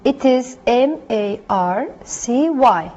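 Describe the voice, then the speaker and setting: A woman with a high voice works through short practice phrases in a classroom role play. She speaks carefully, one line at a time. The recording is dull and lo-fi. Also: lively intonation, slow pace, darkish tone. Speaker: language student.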